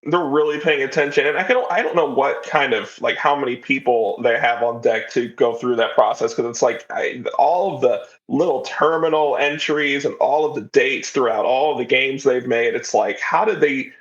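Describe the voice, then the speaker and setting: A man's voice: medium pitch, normal speed, hardly any pauses, a bit bright. A man hosting a podcast in a casual, conversational style, on a slightly dull recording. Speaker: podcast host. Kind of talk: casual chat.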